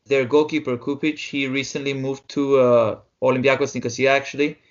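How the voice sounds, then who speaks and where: A young man co-hosting a sports podcast, explaining results in a halting way with many fillers. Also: medium pitch, little pitch movement. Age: young adult. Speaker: podcast co-host. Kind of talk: casual sports chat. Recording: dull lo-fi audio.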